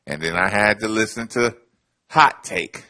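A man co-hosting a casual sports talk show, telling animated stories with hushed asides. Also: fairly low pitch, unhurried.

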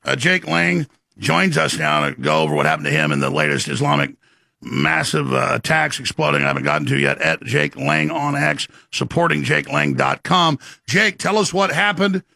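A man's voice: fairly high pitch, lively intonation, normal speed, creaky, sharp tone, no echo. A man hosting a political commentary show, ranting in a heated, sarcastic way. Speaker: host.

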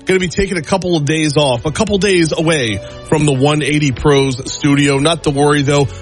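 A man hosting a radio show, making a relaxed, friendly on-air announcement. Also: on the high side, a little fast, soft background music.

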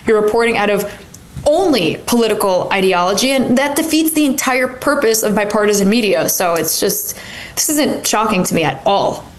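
A woman's voice: mid-range voice, animated, moderate pace. A woman in her twenties, co-hosting a political talk show, chatty and opinionated, with lots of filler "like". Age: 20s. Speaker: co-host. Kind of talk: casual political commentary.

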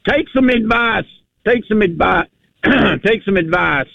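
A man's voice: high voice, animated, measured pace, gravelly, dry recording, muffled recording. A man, rambling in casual chat and stuffing his talk with you-knows.

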